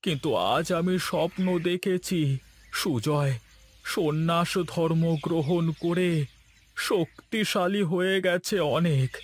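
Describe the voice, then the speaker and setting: A man voicing an anxious, earnest village husband in a Bengali cartoon, with a dramatic drawn-out delivery and faint noise behind him. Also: a little high. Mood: worried. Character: anxious village husband burdened by a guilty past.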